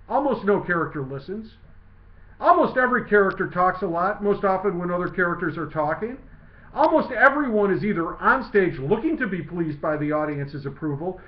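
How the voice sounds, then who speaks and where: Man, high voice, measured declamatory recitation, writer reading a literary essay, muffled echoey recording. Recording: muffled lo-fi, roomy echo.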